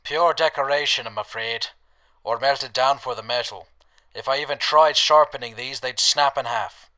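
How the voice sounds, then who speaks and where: A grumpy, aging wasteland trader voiced by a man speaks in sardonic radio drama dialogue. The delivery is curt and forceful, with a sharp edge. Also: mid-range voice, normal speed, lightly acted, poor audio. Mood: dismissive. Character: gruff, grumpy aging male wasteland shopkeeper.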